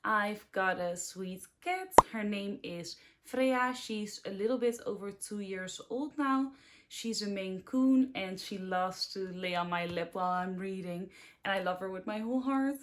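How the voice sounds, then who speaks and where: A woman's voice: mid-range voice, unhurried. Chatty Dutch woman in her twenties. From Netherlands. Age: 20s. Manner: chatty, rambling, upbeat. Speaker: book vlogger. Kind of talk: casual book review vlog.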